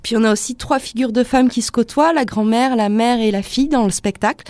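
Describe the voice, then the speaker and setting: A woman's voice: fairly high pitch, a little fast, darkish tone, no room sound. A woman hosting a radio show, presenting in a chatty way with many little fillers and tags.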